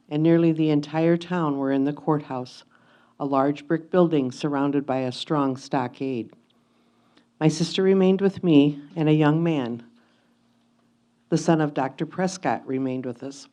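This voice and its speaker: Mellow, low-pitched woman reading solemnly. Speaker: reader of historical letters in reader's theatre. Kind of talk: dramatic reading of a first-person historical memoir.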